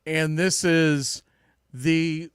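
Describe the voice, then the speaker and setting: A man hosting a sports podcast, giving opinionated baseball commentary with emphatic, drawn-out stresses on key words. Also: fairly high pitch, steady tone, clear, resonant tone, no echo, clean studio sound.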